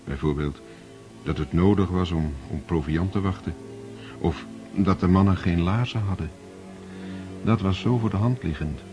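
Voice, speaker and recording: A man narrating a radio play in a measured, grave storytelling manner. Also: deep voice, muffled recording, music in the background.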